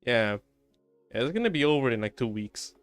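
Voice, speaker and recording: A man with a clean, clear voice streams a game, chatting casually and half to himself. His pitch sits in the middle range, and game music plays softly behind him. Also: sing-song, moderate pace, dry recording. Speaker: game livestreamer.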